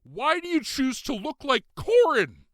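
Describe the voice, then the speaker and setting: A man's voice: very high-pitched, very animated, unhurried, fairly bright tone, dry recording, pitched above the performer's own voice, exaggerated voice. A man reads the part of a distrustful male protagonist in an audiobook novel. He questions warily and with feeling. The sound is close and dry. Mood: anguished, loud. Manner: questioning, wary. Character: distrustful, emotional man narrating his own story. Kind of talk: audiobook character dialogue.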